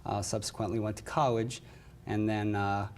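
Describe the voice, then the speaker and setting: A man's voice: lowish voice, level tone, normal speed, slight background noise. A courteous American man from West Virginia answering interview questions as a nurse manager. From West Virginia, United States. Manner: courteous, says yes ma'am.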